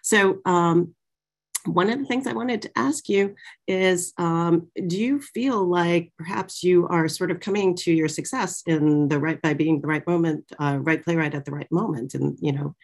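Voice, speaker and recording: A middle-aged woman with a low voice hosts an interview on a video call from a small office. She speaks conversationally, piecing her questions together a bit hesitantly. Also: a little slow, a little mellow. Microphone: computer mic on video call.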